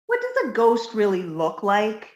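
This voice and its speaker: A woman's voice: medium pitch, huge pitch swings, measured pace, reverberant. A woman playing a naive, dim-witted, earnest young roommate in a 1950s-style radio comedy. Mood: innocent. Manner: innocent, literal-minded.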